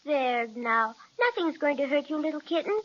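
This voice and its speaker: A woman's voice: high voice, animated, moderate pace, a bit bright. A woman playing an eager, curious and kindly young boy in a children's radio serial. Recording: dull lo-fi audio.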